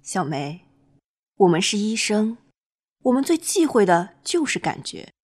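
A woman's voice: mid-range voice, sing-song, measured pace, darkish tone. A woman playing a detached, pragmatic senior woman doctor who gives matter-of-fact advice in an amateur radio drama. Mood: firm, lecturing.

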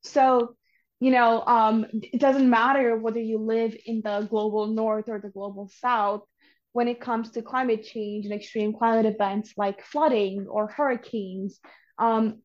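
A young woman in her twenties from Bangladesh, a climate organizer explaining her views earnestly as a podcast guest. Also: fairly high pitch. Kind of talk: podcast interview. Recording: dull lo-fi audio.

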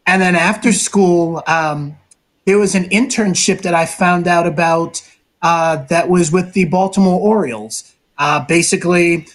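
A man with a notably high voice gives long, earnest answers as an interview guest. He talks steadily and openly, pausing on fillers as he thinks. His delivery is that of a seasoned sports broadcaster. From New York City, Brooklyn. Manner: talkative, earnest, measured with filler pauses.

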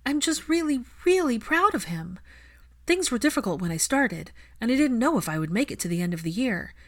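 A woman's voice: on the high side, sing-song, a little fast, a bit bright, voice pushed up. A woman voicing an earnest, grateful young witch with a polite, emotional manner in a story reading. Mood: tearful pride.

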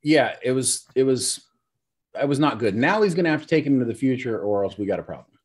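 Man, middle-pitched co-host of a casual review podcast, talks things through at length. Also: lively intonation, husky. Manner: measured, rambling explanations with fillers.

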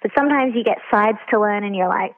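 Over a thin, tinny phone line, a woman of average pitch chats casually as an interview guest. Her talk is informal and full of asides, with little room sound around her. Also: fast, no echo. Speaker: interview guest, actor and writer. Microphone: telephone call.

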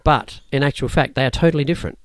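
A man whose first language is Cantonese, a martial arts teacher explaining his teaching in a thoughtful interview, often pausing and restarting. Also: medium pitch, dry recording. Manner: measured, thoughtful, with frequent fillers and restarts. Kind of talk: conversational interview answers.

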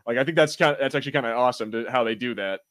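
A man's voice: medium pitch, very fast, a bit bright. A man co-hosting a podcast, chatting informally in a rambling, sometimes sweary way. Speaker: podcast co-host. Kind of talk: casual chat, episode review banter.

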